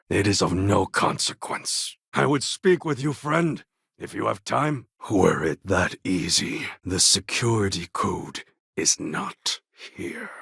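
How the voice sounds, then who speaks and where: A man's voice: fairly low pitch, fairly bright tone, no echo, clean studio sound, heavily acted. An actor playing a proud, menacing male warlord in a video game, speaking in a grave, formal and threatening way. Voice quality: gravelly, husky.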